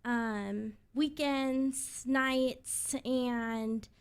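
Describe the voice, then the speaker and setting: A woman's voice: fairly high pitch, steady tone, very slow, clean tone. A woman in her twenties, a radio presenter, giving upbeat conversational answers as an interview guest. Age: 20s.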